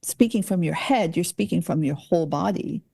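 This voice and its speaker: Mellow-voiced woman podcast host. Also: on the low side, moderate pace, gravelly, husky. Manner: searches for words mid-thought. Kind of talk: casual conversational interview.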